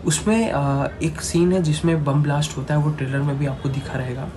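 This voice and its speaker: A man with an Indian accent reviewing a film off the cuff as a channel host, halting and repeating himself, dropping English phrases into Hindi. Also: a little high, fairly even intonation. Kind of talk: unscripted movie review to camera. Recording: clearly noisy, ambient background noise.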